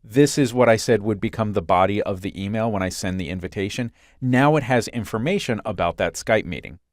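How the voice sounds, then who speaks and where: A man working as an instructor, narrating a software screencast in a clear, step-by-step explanatory way. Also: fairly low pitch, a little fast, dry recording, studio quality.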